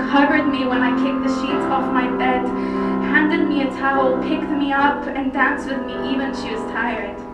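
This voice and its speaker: Echoey, higher-voiced woman reciting slam poetry. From Cyprus. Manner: deliberate, impassioned phrasing with dramatic pauses. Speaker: poet. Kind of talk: slam poetry recital, dramatic spoken word. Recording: very echoey, distant mic, loud music. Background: loud music.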